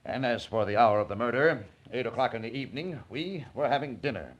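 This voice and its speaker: Casting: male actor as middle-aged executive witness, medium pitch, measured, muffled old radio sound. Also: lively intonation, natural voice. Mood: composed, certain. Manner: measured, boardroom formality. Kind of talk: 1940s radio acting.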